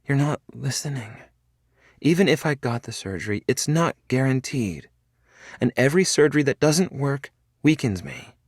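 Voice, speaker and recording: A man's voice: medium pitch, lively intonation, normal speed, slightly rough, no room sound, clean studio sound, voice pushed up. A man voicing a frail, sick young woman who is tearful and pleading.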